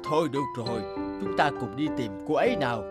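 A man voicing a kindly, wise old guardian angel in a cartoon, explaining things gently and solemnly. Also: mid-range voice, animated, over loud music, lightly acted. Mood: kindly, reassuring. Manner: slow, sententious, gently explaining. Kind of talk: Vietnamese cartoon dubbing.

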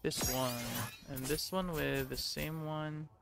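Slow-paced, casual male gamer. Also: on the high side, fairly even intonation, vocal fry. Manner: muttering to himself, repeating words. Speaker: gaming video creator. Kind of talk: casual let's play commentary. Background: game music.

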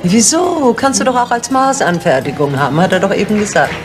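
A woman's voice: mid-range voice, very animated, brisk, music in the background, natural voice. An actress playing a middle-aged woman, an easygoing, encouraging friend chatting casually in a film scene. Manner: casual, conversational. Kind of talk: naturalistic film dialogue.

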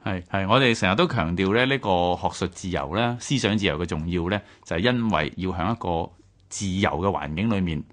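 A man's voice: low-pitched, expressive, brisk, a bit bright. A man with a Hong Kong Cantonese accent hosting a conversational history discussion, explaining point by point. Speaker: radio host.

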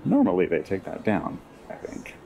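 A man strolls through a city park and talks to the viewers in a relaxed, wry way. His voice sits very low. A faint outdoor ambience runs under it from the camera mic. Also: normal speed, gravelly, slight background noise. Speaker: walking tour guide. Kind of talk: casual narrated walking tour.

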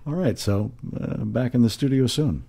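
A man with a husky voice chatting casually with a colleague in a studio. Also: mid-range voice, normal speed, warm tone. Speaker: actor and director. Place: recording studio.

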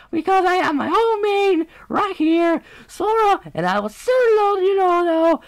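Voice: extremely high pitch, voice pushed up, poor audio. An amateur voice actor playing a brash, boastful gamer who trash-talks everybody.

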